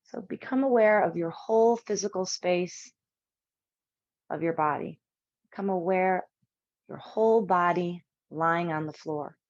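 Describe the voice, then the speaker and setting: Lowish voice woman doing yoga nidra instructions, soothing n measured w/ long pauses, kinda muffled dry recording. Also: a little mellow, dry recording. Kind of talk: guided relaxation meditation, spoken instructions.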